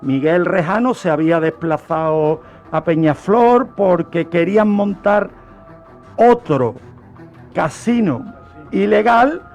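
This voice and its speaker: A high-voiced man from Spain narrates true crime as a radio presenter. He phrases things deliberately and repeats words for emphasis. Music plays faintly behind him. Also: animated, darkish tone, dull audio. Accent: Spanish from Spain.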